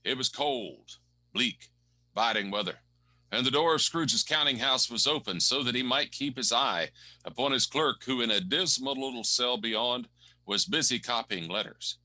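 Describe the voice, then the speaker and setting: A man with a very bright, sharp-edged voice narrates a radio drama. He lingers over each descriptive word with relish. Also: medium pitch, normal speed. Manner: vivid, relishing descriptive words.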